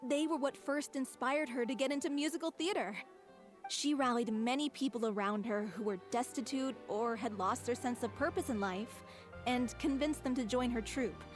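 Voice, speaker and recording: A woman portrays a devoted young troupe performer in a video game. The voice is somewhat high, gentle and respectful, with a wistful air. Music sits lightly behind it. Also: moderate pace, close to an everyday voice. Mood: fond, wistful. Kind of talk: English video game dub acting.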